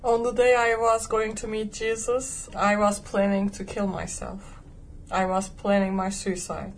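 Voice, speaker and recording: A woman's voice: mid-range voice, level tone, a little slow, slight background noise. Woman telling her story. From Turkey. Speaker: interview guest. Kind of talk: personal testimony interview.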